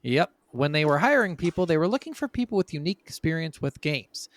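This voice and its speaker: A man's voice: on the high side, big pitch swings, fast talker, no room sound. A man hosting a podcast, talking casually and emphatically from his notes, with plenty of swearing. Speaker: podcast host.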